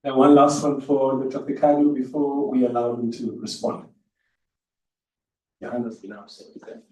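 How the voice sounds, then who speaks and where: A man's voice: medium pitch, flat delivery, moderate pace, darkish tone. A man informally moderating a question session and handing the floor to others. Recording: echoey room, distant mic, faint noise.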